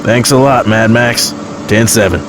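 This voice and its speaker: A man's voice: mid-range voice, fairly even intonation, unhurried, close to an everyday voice. A man playing a worried family-man trucker, plain-spoken and full of CB slang, in an audio drama. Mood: worried, resolved. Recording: noisy CB radio in truck cab.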